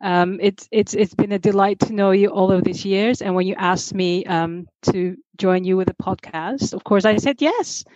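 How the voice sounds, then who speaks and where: A woman's voice: mid-range voice, moderate pace, few pauses, a little mellow, no echo, dull audio. A woman in her forties, raised in Singapore, talking as a podcast guest over a remote call, chatty and full of ums and restarts. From grew up in Singapore. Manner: chatty, many ums and repeated starts. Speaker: guest, communications manager. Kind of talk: podcast interview.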